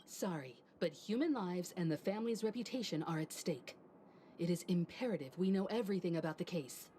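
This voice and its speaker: Actress as a courteous, low-voiced, expressive diplomat. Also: female voice, slight background noise, natural voice. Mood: resolute. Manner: polite, formal, measured wording. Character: composed, courteous, diplomatic woman traveler. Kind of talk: video game voice acting.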